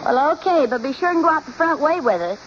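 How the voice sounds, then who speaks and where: A muffled old radio recording with faint noise carries a determined suburban wife. Her high, very lively voice coaxes and teases drily in vintage domestic comedy style. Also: quick pace. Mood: coaxing. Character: genial but determined suburban housewife, gently teasing. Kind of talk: 1950s radio sitcom acting.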